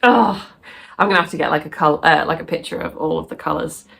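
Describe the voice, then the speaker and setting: A woman's voice: deep voice, quick pace, gravelly, fairly bright tone, husky. A woman vlogging casually about her crafts, chatty and rambling, sounding bunged up and sniffly. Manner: chatty and rambling, laughs often. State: stuffy nose from sneezing. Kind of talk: casual chat to camera.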